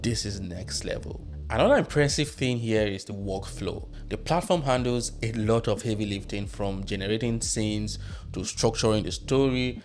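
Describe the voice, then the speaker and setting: A man in his thirties narrating a casual software tutorial on a close mic. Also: on the low side, moderate pace. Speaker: tutorial host. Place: small room at home. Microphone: close studio microphone on boom arm. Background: music.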